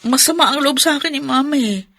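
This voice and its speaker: Scornful, sharp-voiced Filipino older sister. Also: female voice, sits high, fast talker. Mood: resentful. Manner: scornful, accusing. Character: resentful, sharp-tongued older sister scorning her younger sister. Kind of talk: Filipino radio drama acting.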